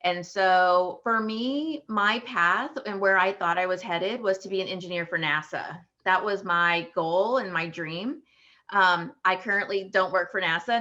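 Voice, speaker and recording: Bright-voiced Texan woman, halting and hesitant. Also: fairly low pitch, highs cut off. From Texas. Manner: halting, many ums and restarts. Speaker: panelist, patent attorney. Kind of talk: career story for students.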